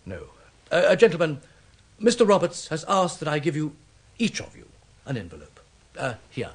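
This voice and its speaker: An actor playing a hesitant, evasive British office boss in a radio drama, stammering and apologetic. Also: male voice, on the high side, huge pitch swings, moderate pace. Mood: awkward, stalling. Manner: stammering, apologetic, dithering with repeated phrases. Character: hesitant, evasive male office boss breaking bad news.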